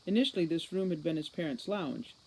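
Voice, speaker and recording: A woman reading an audiobook novel aloud in an expressive storytelling style. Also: low voice, brisk, low-quality recording. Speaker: audiobook reader. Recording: faint background noise.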